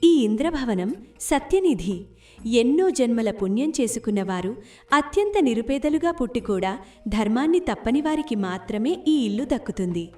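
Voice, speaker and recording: A woman's voice: fairly high pitch, animated, normal speed, darkish tone, faint music behind, close to an everyday voice. A woman voicing a magical speaking book in a cartoon story, with a solemn, proclaiming manner and a distant, off-mic sound. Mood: solemn reassuring.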